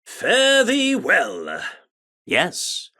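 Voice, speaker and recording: An actor playing a grandiloquent, self-important male theatre director in a video game, speaking in archaic flourishes with dramatic pauses. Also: high voice, wildly swooping pitch, sharp tone, heavily acted. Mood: earnest, courteous.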